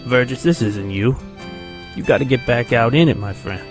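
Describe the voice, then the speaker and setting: An actor playing an earnest, pushy and loyal comrade in an audio drama, speaking candidly. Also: male voice, mid-range voice, lively intonation, normal speed, music in the background, lightly acted. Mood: urging. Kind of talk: naturalistic audio drama acting.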